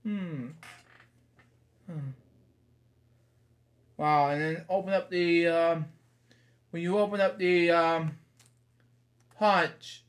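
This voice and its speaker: Slow, halting man reading aloud, higher-pitched voice. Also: steady tone. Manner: halting, rambling, repeats stock phrases. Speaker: host reading picture books aloud. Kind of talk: read-aloud mixed with casual asides. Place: small bedroom, indoors.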